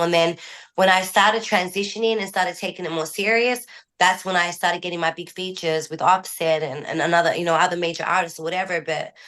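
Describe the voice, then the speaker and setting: A woman's voice: on the low side, a bit bright. A young woman from Australia with an Australian accent partly shaded by American speech, telling her story candidly as an interview guest. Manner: candid, rambling storytelling with restarts. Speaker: guest musician. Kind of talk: casual interview talk.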